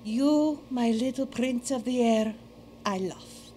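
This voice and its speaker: A woman playing a loving mother speaking gently to her young son in a radio drama, soft and unhurried. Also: a little high, a little hiss or hum. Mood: tender.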